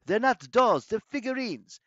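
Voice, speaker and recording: Male reader as little boy, petulant, stubborn, raised pitch, storybook dialogue, dry sound. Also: big pitch swings, normal speed, a bit bright, no room sound, dull audio, heavily acted. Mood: indignant. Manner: petulant protest.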